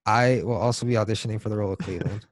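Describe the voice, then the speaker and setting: A man's voice: lowish voice, steady tone, very fast, no echo, clean studio sound. A man co-hosting a podcast in casual, joking chat, slangy and swearing now and then. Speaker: podcast co-host.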